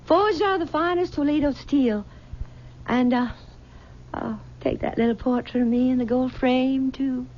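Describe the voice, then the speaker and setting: A woman's voice: high-pitched, normal speed, darkish tone, slight background noise, close to an everyday voice. A woman playing an earnest young woman making a solemn promise to her lover in a 1970s radio drama. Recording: dull old radio broadcast.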